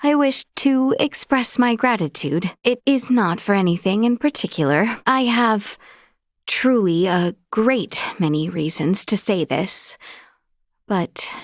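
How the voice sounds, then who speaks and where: A young woman playing a courteous, earnest princess in a video game, speaking in formal, archaic courtly phrases with gracious, measured manner. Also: medium pitch, animated, dry recording, clean studio sound. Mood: solemn, grateful. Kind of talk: scripted video game character dialogue.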